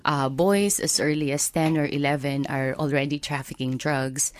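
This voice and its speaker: Low-voiced Filipino woman, guest, dry sound. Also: steady tone, no echo. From Philippines. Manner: earnest, fills pauses with uh and um. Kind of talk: interview guest telling her life story.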